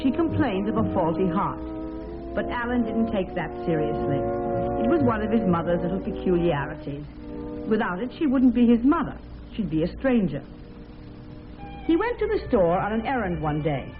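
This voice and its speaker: A woman's voice: mid-range voice, big pitch swings, moderate pace. A woman giving scripted dramatic narration on a tinny vintage radio recording, with music behind her.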